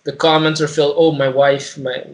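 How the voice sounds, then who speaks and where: A fairly high-pitched male voice in casual podcast talk about film. He is slangy and sweary, and he stumbles along, repeating phrases as he goes. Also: level tone, moderate pace. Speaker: podcast co-host.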